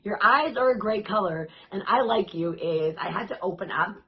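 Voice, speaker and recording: A woman fitness coach talking casually to her viewers, her voice raspy and hoarse, in an upbeat, chatty and encouraging way. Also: medium pitch, animated, moderate pace, muffled recording. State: hoarse, voice worn out. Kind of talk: casual vlog talk to camera.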